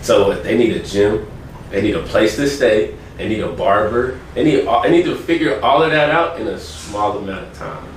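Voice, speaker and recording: A man's voice: on the low side, normal speed. An American man hosting a casual group chat in a playful, slangy way. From United States. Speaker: host. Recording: very echoey room, distant mic, faint noise.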